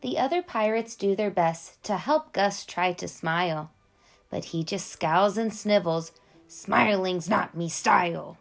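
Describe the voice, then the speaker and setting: A woman reading a picture book to children in an expressive, deliberate storyteller's delivery. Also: mid-range voice, faint music behind. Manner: clear, deliberate delivery addressed to young children. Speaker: children's read-aloud storyteller and host.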